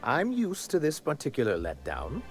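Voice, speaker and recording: Theatrical, pompous corporate executive. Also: male voice, a little high, huge pitch swings, normal speed, faint music behind. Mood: grand, courteous. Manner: florid, grandiose speech with rhetorical questions. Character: pompous, theatrical corporate executive man, easily wounded and melodramatic. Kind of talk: video game character acting.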